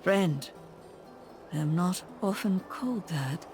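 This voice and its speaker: A woman's voice: lowish voice, expressive, slow, darkish tone, soft background music. An actress playing an aloof female necromancer in a fantasy game, speaking in a composed, formal and solemn manner. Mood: cool, resolute.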